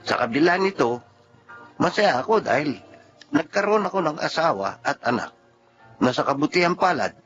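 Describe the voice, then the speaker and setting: A man's voice: fairly high pitch, lively intonation, moderate pace, pauses often, fairly bright tone. An actor playing a patient, good-natured father of forty in a Filipino radio drama. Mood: reflective. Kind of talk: Filipino radio drama acting, first-person narration and dialogue. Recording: muffled radio-drama audio with music behind.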